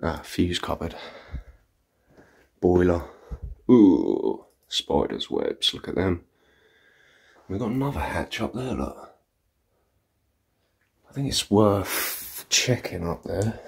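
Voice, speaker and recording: A man's voice: sits low, slow, creaky, long pauses. A man hosting an exploring vlog, walking through an empty house indoors and marvelling to his viewers as he goes. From England. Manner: excited, marvelling asides to viewers. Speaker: urban explorer host. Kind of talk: walkthrough vlog narration.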